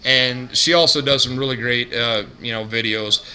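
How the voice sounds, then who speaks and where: A man hosting a casual couponing vlog, talking in a droning, monotone way with lots of fillers and restarts. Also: normal speed, rough recording. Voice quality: bassy. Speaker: couponing YouTuber. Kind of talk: casual vlog shout-outs.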